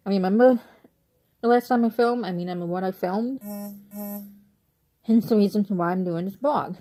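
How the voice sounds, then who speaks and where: A young woman from Illinois with an Illinois accent, her voice hoarse and her nose stuffy. She talks casually to a handheld camera from her couch at home, rambling and circling back over her own sentences. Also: on the low side, darkish tone. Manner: rambling, repeats and restarts herself. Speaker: vlogger.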